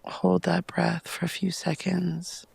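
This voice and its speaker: Soft man with heavily creaky, breathy voice. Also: high voice, monotone. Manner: soft, breathy, lapsing into near-whispers; unhurried, gentle, with long pauses. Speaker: guided meditation host. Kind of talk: guided meditation narration.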